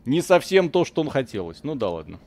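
A man's voice: medium pitch, sing-song, fairly quick, mellow. A man hosting a live video game stream, chatting casually in slangy, joking language with mild expletives.

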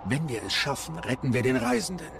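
A man's voice: medium pitch, sing-song, fairly quick, creaky, background noise. A man playing a small, loyal, determined machine companion in a German video game audio drama, terse and earnest in delivery. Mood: solemn.

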